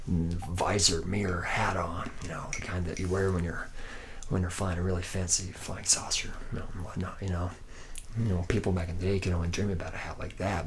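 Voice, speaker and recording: A man's voice: low-pitched, creaky, slight background noise. A man playing a laid-back, boozy womanizing factory worker in an ASMR roleplay, talking in a casual, slangy ramble.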